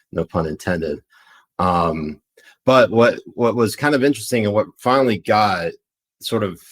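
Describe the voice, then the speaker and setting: A man with a Pakistani accent co-hosting a live political chat show, rambling with many fillers. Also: low-pitched. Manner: rambling, filler-heavy, laughs easily. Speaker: podcast co-host.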